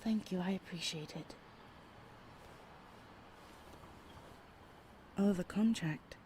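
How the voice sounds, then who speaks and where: A young adult woman voicing a shy, sweet roommate in an ASMR audio roleplay, speaking softly and hesitantly with breathy whispered asides. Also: lowish voice, normal speed, background noise, close to an everyday voice. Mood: soft, rambling. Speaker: voice actor.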